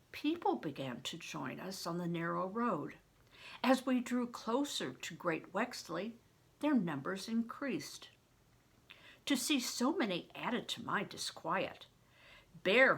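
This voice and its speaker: An older woman narrates a storybook with lots of expression. Her voice is bright and forward, with an unhurried pace. Her pitch moves widely as she reads. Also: lively intonation, gravelly, husky. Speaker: storyteller reading a children's novel aloud.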